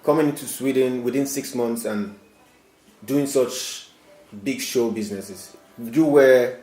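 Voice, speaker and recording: A male host puts questions to his guest in formal, somewhat flowery phrasing. His voice has a middle pitch. It rings in an echoey room. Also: a little slow, a little mellow, faint music behind. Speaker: interviewer, host.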